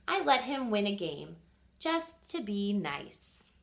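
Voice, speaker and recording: A woman reading a picture book aloud expressively as a teacher does for children, pausing to ask simple questions. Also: medium pitch, big pitch swings, creaky, a bit bright. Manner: pauses to quiz listeners with simple questions.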